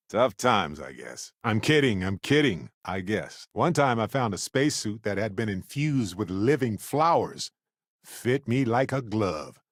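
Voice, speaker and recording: A man's voice: on the low side, sing-song, a little slow, no echo, clean studio sound, heavily acted. A male actor voicing a charming, good-humored space explorer in a video game, with a glib, playful, conversational delivery and a theatrical streak. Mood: playful, teasing. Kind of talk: video game voice acting, companion dialogue.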